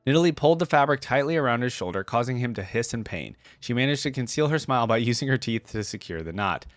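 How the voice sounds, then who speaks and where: A man hosts a casual reaction video in a close, dry-sounding recording. He moves between reading text aloud and conversational commentary, thinking out loud as he goes. His voice sits at an ordinary male pitch. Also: animated, quick pace, no echo.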